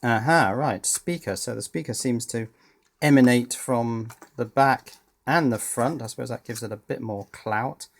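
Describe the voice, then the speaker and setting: A man's voice: mid-range voice, normal speed, gravelly. A man giving unscripted teardown commentary as a hobbyist presenter, thinking aloud with dry, understated humour.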